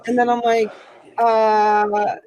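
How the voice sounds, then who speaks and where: Chatty woman, higher voice. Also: little pitch movement, slow, darkish tone, lo-fi sound. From Italy. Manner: chatty, many fillers and restarts. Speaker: livestream host. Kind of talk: casual live chat.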